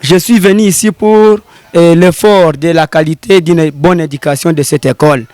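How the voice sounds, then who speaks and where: Congolese man, halting French teacher. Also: on the high side, steady tone, a little mellow, no room sound. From Congo (DRC), Bukavu. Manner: halting, repeats words while searching for them. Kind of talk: on-camera interview.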